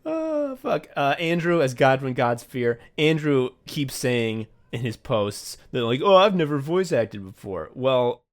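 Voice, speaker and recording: A man's voice: mid-range voice, very animated, measured pace, hardly any pauses. A man co-hosting a casual behind-the-scenes chat, swearing freely and running himself down as he talks about directing. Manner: profane, self-deprecating. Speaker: podcast co-host and audio play director.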